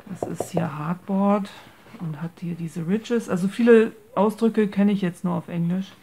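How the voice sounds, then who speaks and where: A woman's voice: lowish voice, measured pace, dark tone, slight background noise. A woman giving a casual, hesitant show-and-tell, searching for words and mixing English craft terms into her speech. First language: German. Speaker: craft video maker presenting a handmade journal. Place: indoors at a tabletop.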